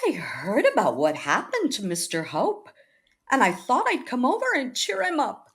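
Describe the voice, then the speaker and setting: A woman's voice: on the high side, wildly swooping pitch, moderate pace. A woman playing a scatterbrained, ailing spinster, cheerfully morbid and rambling dolefully, in 1940s radio comedy style. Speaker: actress in a radio comedy recreation.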